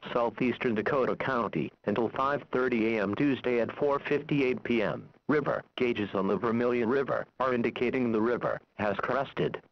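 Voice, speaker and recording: A man's voice: medium pitch, no echo. Synthetic male announcer, dull radio sound. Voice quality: synthesized text-to-speech voice. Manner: formal, measured, impersonal. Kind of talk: scripted weather warning bulletin. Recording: dull lo-fi weather radio broadcast.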